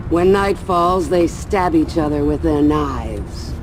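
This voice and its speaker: A man's voice: on the high side, animated, slow. A man playing a scheming, menacing warlord master in a video game, speaking theatrically. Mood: cold menace. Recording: heavy background noise.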